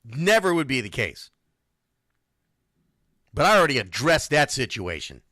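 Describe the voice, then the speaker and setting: A man's voice: mid-range voice, big pitch swings, unhurried, sharp tone, no echo, clean studio sound. A man hosting an unscripted sports talk show, answering listeners in a blunt, emphatic way and repeating phrases for stress. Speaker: sports radio show host.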